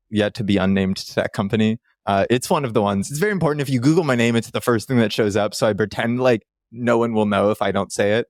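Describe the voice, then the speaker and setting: A man from Canada with a low voice, talking loosely on a casual podcast. He rambles and jokes at his own expense. The sound is close and dry, with no room at all. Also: no echo. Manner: rambling, self-deprecating jokes. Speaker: podcast guest.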